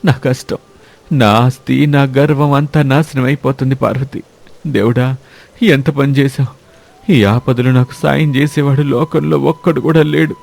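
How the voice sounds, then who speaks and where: A man acts out a proud, well-off village elder brother in a cartoon moral tale. His mid-pitched voice is full of anguish and pleading. The speech is rustic and openly emotional. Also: steady tone, a little slow, gravelly, darkish tone. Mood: despairing. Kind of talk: dramatic moral-story cartoon voice acting.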